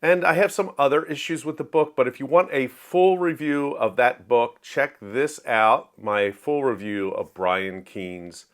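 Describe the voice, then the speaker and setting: A man's voice: mid-range voice, a little slow, few pauses. A man presents books in a casual, conversational style, as a host talking to viewers. His delivery is plainspoken and opinionated, with a mid-range voice. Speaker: host of a book review show. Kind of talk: casual scripted-outline book review to camera.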